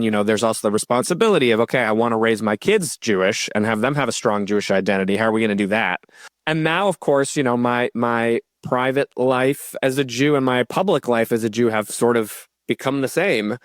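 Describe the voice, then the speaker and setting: A man's voice: mid-range voice, no echo. Reflective man, dry close sound. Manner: reflective, thinking aloud with fillers. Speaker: podcast host. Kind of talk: conversational interview.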